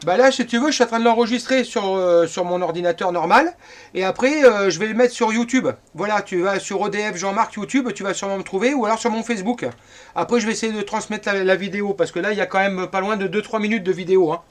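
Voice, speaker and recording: A man's voice: high-pitched, fast. A middle-aged man from France chatting casually as an amateur radio operator.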